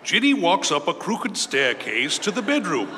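A man's voice: high voice, sing-song, moderate pace, bright, forceful tone, slight background noise, exaggerated voice. A man narrating stage directions at a live comedy staged reading. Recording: echoey hall with music.

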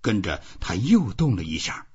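Husky, low-voiced male audiobook narrator. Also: very animated, normal speed, darkish tone, muffled recording. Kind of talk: scripted literary narration of a novel.

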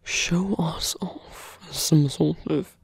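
Man playing a brooding male villain, ASMR roleplay, seductive, coaxing, breathy whisper, gravelly creak, dry close sound. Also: a little high, fairly even intonation, dry recording, full character voice. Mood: bitter, intimate. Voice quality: breathy, husky. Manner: slow, coaxing, lingering pauses.

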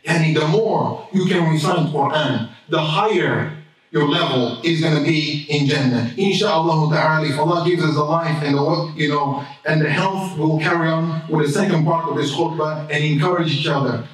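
A man's voice: a little high, moderate pace. A man in his thirties giving a Friday sermon at a mosque lectern, preaching with emphatic swells and Arabic phrases. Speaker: imam. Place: mosque prayer hall, indoors. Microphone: stand mic at a lectern, filmed from far camera. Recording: very echoey hall, voice from a distance.

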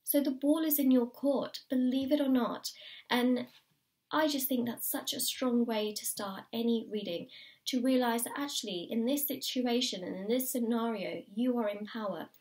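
A woman giving a tarot reading to the viewer, her voice slightly croaky, encouraging and repeating key phrases. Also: a little high, moderate pace, darkish tone, far from the mic. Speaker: tarot reader. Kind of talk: pick-a-card tarot reading to camera. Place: indoors at a table.

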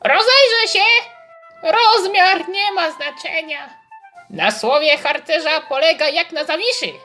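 A tiny game-character scout, very high and squeaky. He is eager and perky, barking out bright exclamations over light music. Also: slow pace, heavily acted.

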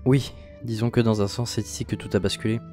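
A man's voice: lowish voice, fairly even intonation, fast, dark tone, soft background music, natural voice. Man reading an anxious, subdued teenage girl. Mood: sad, anxious. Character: anxious, sorrowful teenage girl confiding in her father.

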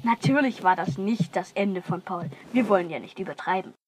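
A woman's voice: mid-range voice, fairly quick, darkish tone, breathy edge. A woman reading scripted narration for a children's radio play. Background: music.

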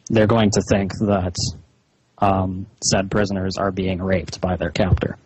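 A man speaking as a caller on a phone, in a measured, hesitant but insistent way, with slightly muffled sound. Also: deep voice, little pitch movement, darkish tone, a little hiss or hum. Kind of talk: slow, halting phone conversation. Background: phone call recording.

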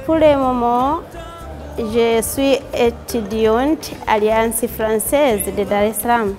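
Calm woman in her forties. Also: a little high, slow pace, soft background music. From Tanzania. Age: 40s. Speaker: primary school teacher. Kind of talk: interview answers.

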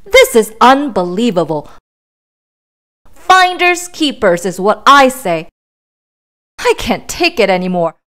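A woman performs a self-important, possessive storybook pigeon who is easily exasperated. The high voice swoops theatrically and stretches words out for emphasis. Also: very animated, slow, pauses often, a bit bright, heavily acted. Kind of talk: children's storybook read-aloud.

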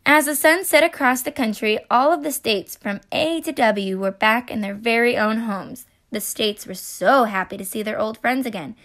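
A woman's voice: fairly high pitch, normal speed, hardly any pauses, a bit bright. A woman reading a children's picture book aloud expressively, adding explanatory asides. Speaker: storybook reader.

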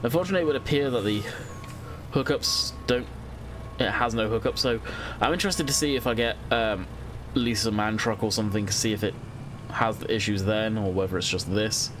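A young man talks through his game in a loose, rambling way, sounding stuffed up and congested. His voice sits a little low. A steady hiss of noise runs under the home recording. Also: brisk. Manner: chatty and rambling, thinking aloud while playing. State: congested from a cold. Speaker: gaming channel host. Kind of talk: casual let's-play commentary.

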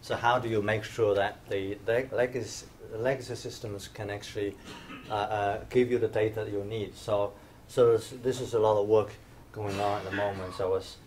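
Low-voiced man giving a hesitant lecture. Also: little pitch movement, moderate pace, a little mellow, a little hiss or hum. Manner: hesitant, many fillers and restarts. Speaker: invited speaker, professor. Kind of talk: conference lecture. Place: dim lecture room, standing by projector screen.

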